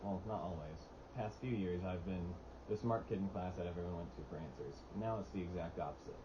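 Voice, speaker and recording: A teenage boy with a very deep voice talks in loose, rambling sentences. He restarts often and leans on "like." The dull lo-fi recording carries a clear hiss. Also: a little fast. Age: teens. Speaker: podcast co-host. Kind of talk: casual chat. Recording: dull lo-fi mic with steady hiss.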